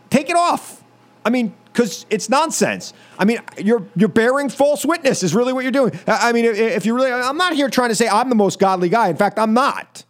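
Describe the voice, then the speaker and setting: Male American radio host, voice a bit above average pitch. Fired-up, exasperated political monologues with punchy repeats. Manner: emphatic, exasperated, repeats phrases for stress. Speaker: talk radio show host. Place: radio studio.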